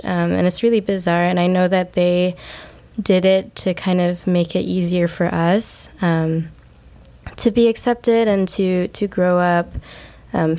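Young woman, native Urdu speaker, lower voice, reflective and halting podcast guest. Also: a little mellow. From United States. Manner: reflective, hesitant, many fillers. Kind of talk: personal storytelling.

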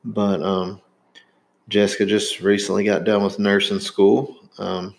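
A man's voice: lowish voice, little pitch movement, a little slow, gravelly. A man in his thirties from Indiana with a Southern accent, hosting a podcast in casual, rambling chat. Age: 30s. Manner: rambling, many fillers and restarts. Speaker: podcast host.

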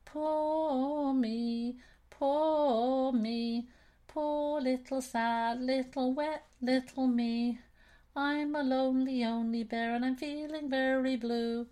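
A woman voicing a weepy, lonely female teddy bear who wails a drawn-out singsong lament. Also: high-pitched, pitched above the performer's own voice. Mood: mournful.

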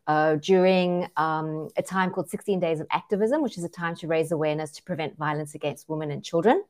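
A middle-aged woman from South Africa, her accent blended with Australian tones. She talks earnestly and with energy as a podcast guest, pausing on fillers. Her voice sits a little low for a woman. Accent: South African with Australian and French influence. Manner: earnest, lively, many fillers. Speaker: guest, activist. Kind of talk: interview, personal account.

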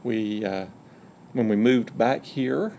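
Middle-aged man with a Georgia drawl, gravelly, podcast guest, folksy, joking delivery. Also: mid-range voice, slow, warm tone, slight background noise. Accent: Georgia Southern US. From Georgia, USA. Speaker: university provost, podcast guest. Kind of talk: casual interview chat.

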